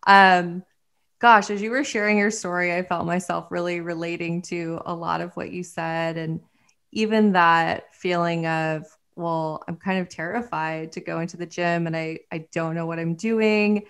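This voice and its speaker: A woman's voice: fairly low pitch, fairly even intonation, normal speed, a bit bright, clear, resonant tone. A woman hosting a podcast, responding to her guest with affirming, reflective comments. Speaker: podcast host. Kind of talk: casual podcast interview.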